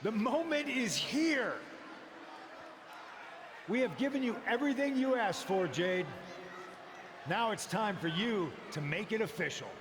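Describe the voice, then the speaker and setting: A persuasive wrestling faction spokesman in a game, high-voiced and swinging widely in pitch. He speaks grandly and coaxingly in a long-reverb arena. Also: animated, unhurried, pauses often. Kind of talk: wrestling promo in a video game cutscene. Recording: echoing arena PA, noisy crowd. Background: arena crowd.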